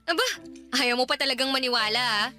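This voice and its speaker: A woman's voice: high voice, fast talker, sharp tone, faint music behind. A woman playing an affectionate, teasing young girlfriend in a radio drama. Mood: playful teasing. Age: young adult. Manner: teasing, giggly. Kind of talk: Tagalog radio drama acting.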